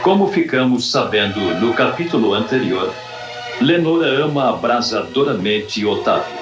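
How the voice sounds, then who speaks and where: A man's voice: mid-range voice, expressive, moderate pace, lightly acted. A man narrating an old-time radio drama from a script, solemn and theatrical. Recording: radio narration over music bed.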